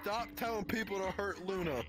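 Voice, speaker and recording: A man's voice: high-pitched, a little fast. A young man in casual game chat, trading crude banter in a profane, mouthy way. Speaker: social VR game player. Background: music.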